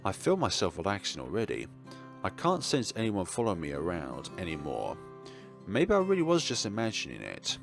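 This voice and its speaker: A man's voice: low-pitched, fairly quick, gravelly, soft background music. A man hosting a let's play, reading a visual novel aloud expressively with casual commentary of his own. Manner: expressive, acts out dialogue, chuckles and stumbles over words.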